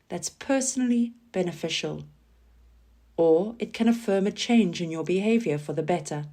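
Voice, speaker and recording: Slow, mellow woman guiding relaxation. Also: lowish voice. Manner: calm, soothing, gentle instruction with pauses. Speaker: yoga nidra guide. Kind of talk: guided relaxation meditation, scripted.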